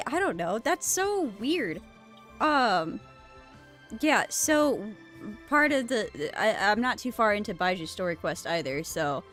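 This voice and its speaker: Female streamer, mid-range voice, very expressive pitch, casual musing reactions to a game at a slow pace. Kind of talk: casual live gameplay commentary. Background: game music.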